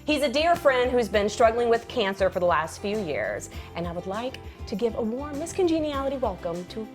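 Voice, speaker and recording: A woman hosts an interview show, her voice rising and falling in a lively, animated way. She asks her questions with clear enthusiasm while faint music plays in the background. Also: on the high side, normal speed. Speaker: show host. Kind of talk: interview hosting.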